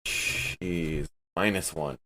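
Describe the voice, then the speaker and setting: A man playing a tabletop game, chatting and improvising casually with an expressive, dramatic manner. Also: deep voice, very slow.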